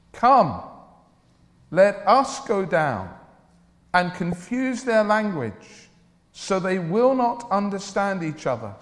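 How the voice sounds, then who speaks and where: A man preaching a church sermon in an earnest, deliberate way, with declarative phrasing. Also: high voice. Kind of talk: evangelical church sermon with Bible reading and prayer. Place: large church or hall, speaking to a congregation. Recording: reverberant hall, long echo.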